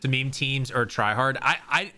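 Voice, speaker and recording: A young man with a bright, forward voice chats on a gaming podcast. He rambles, leans on fillers and lets his sentences trail off. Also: medium pitch, a little slow. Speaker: podcast co-host. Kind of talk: casual chat.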